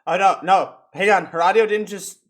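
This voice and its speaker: A man hosting a podcast in a casual, joking way, crude and quick to swear. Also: high voice. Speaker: podcast host.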